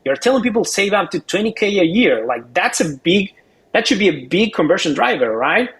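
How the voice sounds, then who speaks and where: Male expert co-host, slightly high voice, casual explainer who repeats words while thinking aloud. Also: very animated, a little fast, a bit bright.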